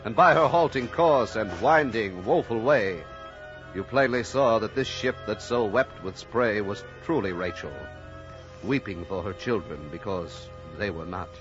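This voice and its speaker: An actor playing a solemn storyteller, narrating a whaling tale in literary old time radio drama style. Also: male voice, lowish voice, a little slow, lightly acted. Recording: muffled old radio recording, loud music behind.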